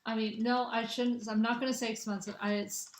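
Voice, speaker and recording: A woman's voice: a little high, level tone, a little fast. A young woman from the American Midwest hosting a casual livestream, chatting with viewers in a rambling way with lots of restarts. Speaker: livestream host doing spiritual readings. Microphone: phone or webcam. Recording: echoey room.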